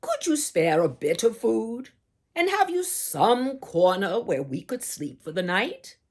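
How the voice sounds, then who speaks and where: A woman voicing a hungry, weary but polite male soldier in a storybook, declaiming loudly and drawing out the words. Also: on the high side, sing-song, slow pace, voice pushed up, full character voice. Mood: polite, pleading.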